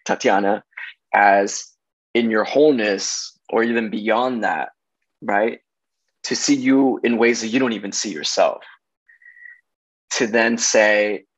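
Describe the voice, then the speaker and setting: A man's voice: fairly low pitch, no echo, poor audio. A man in his thirties from Los Angeles, a casting director talking reflectively on a video call from his home kitchen, gesturing as he checks in with "right?". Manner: reflective, animated hand gestures, frequent "right?" tags. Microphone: video call, laptop camera.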